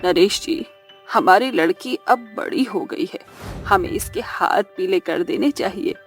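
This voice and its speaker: Woman as middle-aged mother, caring, practical, expressive, cartoon story, medium pitch, faint music. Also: measured pace, natural voice. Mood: earnest. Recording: background music under the voice.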